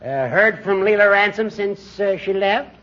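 An actor playing a chipper, needling judge in a 1940s radio comedy, with a folksy, sly manner. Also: male voice, sits high, expressive, measured pace, sharp tone, exaggerated voice. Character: chipper, needling judge, the uncle's old friend. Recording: dull, noisy 1940s radio recording.

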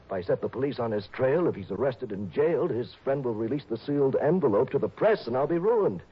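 An actor playing an anxious middle-aged stockbroker who is being blackmailed, fretful, pleading and refined, in a 1960s radio drama. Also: male voice, mid-range voice, lively intonation, quick pace, slightly rough, dull audio. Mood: desperate.

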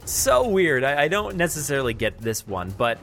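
Casual male podcast host, halting and unscripted. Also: on the high side, very animated, gravelly, a bit bright, faint music behind. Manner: halting, thinking aloud while describing what he sees. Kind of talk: casual unscripted news chat.

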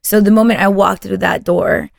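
Woman, from Texas, native Spanish speaker, mid pitch, gravelly fry, podcast guest, casual storytelling. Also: fairly even intonation, moderate pace, dry recording, clean studio sound. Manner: warm storytelling with frequent restarts. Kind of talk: casual interview chat.

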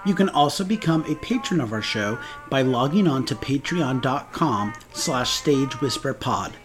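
Lively male podcast announcer over music. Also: mid-range voice, normal speed. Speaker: podcast host reading credits. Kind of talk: scripted outro announcement. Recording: music bed behind voice.

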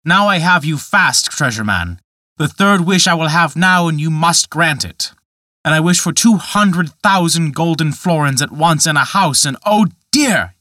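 A man's voice: a little high, sing-song, moderate pace, hardly any pauses, no room sound, studio quality, voice pushed up. A man doing a frantic young gambler. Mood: grabbing, demanding. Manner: loud, raised and agitated. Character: desperate young male gambler and charcoal burner.

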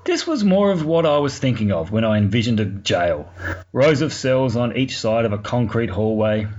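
A man's voice: medium pitch, expressive, moderate pace, darkish tone. A man with an Australian accent telling a story informally for a podcast, in a colloquial, sweary, wry way. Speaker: podcast segment contributor. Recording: muffled lo-fi recording, clear background noise.

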